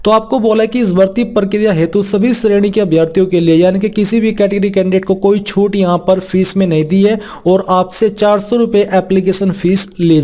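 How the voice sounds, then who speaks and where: A man's voice: sits high, dark tone. A man presenting an informal instructional walkthrough, reading formal text and restating it plainly. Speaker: presenter of a job vacancy explainer.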